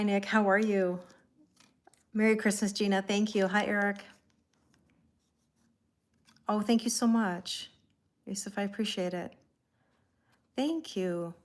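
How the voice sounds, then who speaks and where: A Canadian woman in her thirties hosts a live stream in a casual, chatty way. She greets viewers one after another at a middle pitch. Her talk is friendly, with frequent laughs and easy asides. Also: fairly bright tone. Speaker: tarot reader hosting a live stream.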